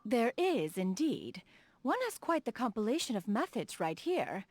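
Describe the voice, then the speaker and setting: A woman's voice: on the high side, very animated, unhurried, a little mellow. An actress voicing a refined, dignified immortal woman and proud inventor in a video game, speaking in a formal, stately manner. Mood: eager, proud. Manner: formal, stately, refers to herself as "one". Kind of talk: video game character dialogue.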